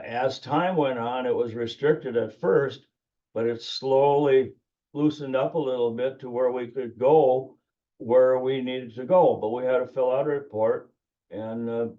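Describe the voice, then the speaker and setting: An American man in his seventies recalling his life informally in a plainspoken, meandering way as an interview guest. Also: mid-range voice. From United States. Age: 70s. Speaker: interview guest, retired air traffic controller. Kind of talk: informal personal recollection. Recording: dull, muffled lo-fi recording.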